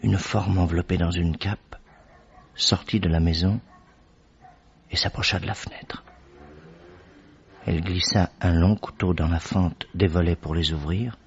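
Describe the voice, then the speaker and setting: An actor playing Doctor Watson, a loyal companion who narrates in a measured storytelling manner in a radio drama. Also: male voice, low-pitched, steady tone, muffled recording, a little hiss or hum.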